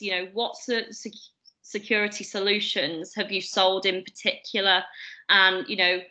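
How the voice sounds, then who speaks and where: Bright, chatty woman host. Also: mid-range voice, low-quality recording. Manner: chatty, many fillers and hedges. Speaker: host, recruiter. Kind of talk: business interview.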